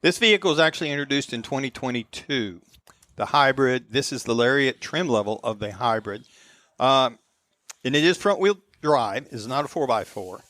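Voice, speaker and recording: A man's voice: mid-range voice, expressive, normal speed, a bit bright, no echo, clean studio sound. An American man giving an informal, explanatory spoken review as a radio show regular.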